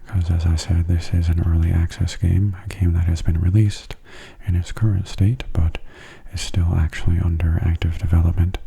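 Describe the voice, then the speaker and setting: A man giving soft-spoken ASMR commentary on a game in a gentle, unhurried, casual way, his hushed voice often slipping into whispers. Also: deep voice, flat delivery, gravelly, husky. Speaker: gameplay video host.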